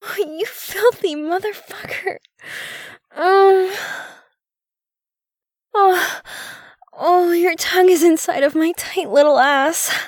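Slow-talking, coy girlfriend played by a woman. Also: low-pitched, a little mellow, dry recording, clean studio sound. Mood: breathless, flustered.

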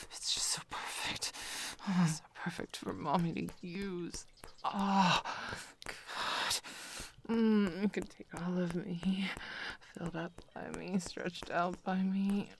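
Woman playing a dominant female lover, possessive, breathy whisper, lowish pitch, crackling vocal fry, sighing short phrases, intimate adult audio roleplay. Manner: murmured, drawn-out sighs between short phrases.

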